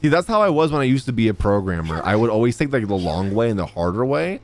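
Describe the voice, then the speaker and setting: A man giving casual, informal live reactions as he streams a video game, exclamatory and animated. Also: medium pitch, fast talker.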